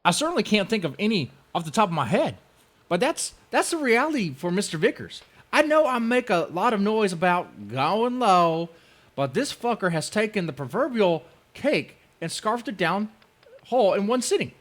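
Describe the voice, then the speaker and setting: A spiteful male blogger, as a man acts him out rants in a raised, swinging pitch. He is whiny and aggrieved, piling on indignation in a mocking dramatic reading. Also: very animated, moderate pace, fairly bright tone, exaggerated voice. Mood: indignant. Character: aggrieved, spiteful male blogger ranting about his enemies.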